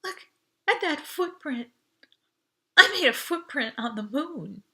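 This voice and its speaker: Woman voicing an excitable high-pitched teenage girl. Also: takes it slowly, exaggerated voice. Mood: awestruck, gushing. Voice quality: small, breathy, emotional voice. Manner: exclaiming and questioning, easily amazed. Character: excitable, nervous, awestruck teenage girl in sci-fi story.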